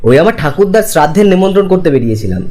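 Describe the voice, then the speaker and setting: A man's voice: sits high, sing-song, very fast, mellow. A man voicing a friendly, insistent young office colleague, chatty and pressing, in cartoon story dialogue.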